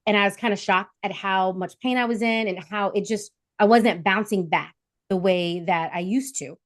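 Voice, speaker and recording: A woman's voice: medium pitch, moderate pace, no echo, clean studio sound. A woman in her forties hosting an interview, telling a personal story in a chatty way with many fillers. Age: 40s. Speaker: host. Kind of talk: casual interview chat.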